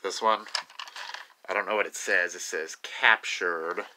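A young man of about twenty with a low voice talks in a casual, unscripted way. His delivery is offhand and halting, as if showing his things to the camera. It is recorded on a handheld phone with clear background noise. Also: steady tone, bright, forceful tone. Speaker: video maker showing a collection. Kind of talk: casual unscripted show-and-tell. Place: small cluttered room at home. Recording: noisy phone recording with clicks.

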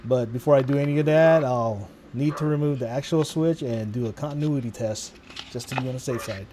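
A man's voice: mid-range voice, brisk, noisy background. A man hosting a home workshop channel, narrating a casual hands-on tutorial. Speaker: host of a DIY machining channel.

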